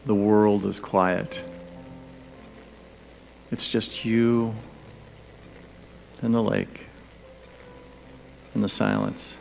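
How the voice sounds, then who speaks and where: A man leading a guided meditation, speaking gently and soothingly in short, spaced phrases with pauses. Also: fairly low pitch, level tone, vocal fry, slight background noise, low-quality recording. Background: background music.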